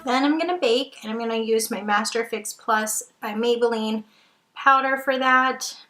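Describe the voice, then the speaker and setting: Young woman in her twenties, fairly high voice, sniffly from a cold, chatty makeup review in an echoey room. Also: moderate pace, sharp tone, soft background music. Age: 20s. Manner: chatty, enthusiastic, repeats herself with ums. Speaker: beauty vlogger. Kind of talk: casual makeup review chat.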